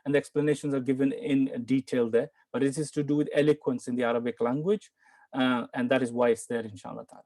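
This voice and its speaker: A man from Bangladesh answering questions in an online Quran lesson, patient and explaining slowly with repeats and apologies. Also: medium pitch, fairly even intonation, a little mellow. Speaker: Quran teacher.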